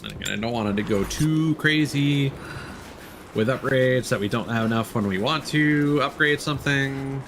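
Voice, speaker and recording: A man's voice: medium pitch, moderate pace, noisy background. A man who streams games, thinking aloud in casual, conversational live commentary while he plays. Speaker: game streamer.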